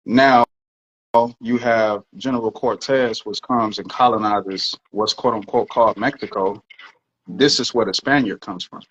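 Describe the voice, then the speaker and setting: Low-voiced man explaining earnestly. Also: unhurried, a bit bright, slightly rough, lo-fi sound. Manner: earnest, explanatory, sometimes swearing. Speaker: podcast co-host. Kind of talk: casual podcast discussion.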